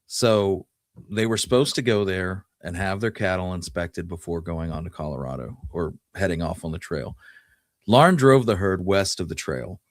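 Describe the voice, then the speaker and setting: A man's voice: deep voice, normal speed, no echo, studio quality. A man hosting a history show, telling Wild West stories in a casual, folksy, conversational way. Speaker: podcast host telling history.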